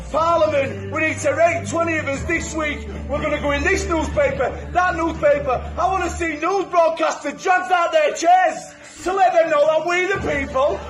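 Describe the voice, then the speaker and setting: A British man delivering a fiery, profane, shouted rant as a protester. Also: very high voice, echoey room, low-quality recording. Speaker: protester in a played clip.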